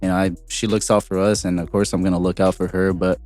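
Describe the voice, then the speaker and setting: A young man with a lowish voice talks in a relaxed, rambling way, full of "um" and "you know." Faint music plays softly behind him. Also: fast. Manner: relaxed, rambling, many fillers. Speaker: guest, street taco vendor. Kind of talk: casual podcast chat.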